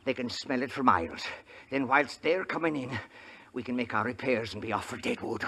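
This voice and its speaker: An actor playing a cantankerous old Irish wagon driver, dramatic and blustery, in a 1950s radio western. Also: male voice, mid-range voice, expressive, a little fast, lightly acted. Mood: grumbling, hurt. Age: older.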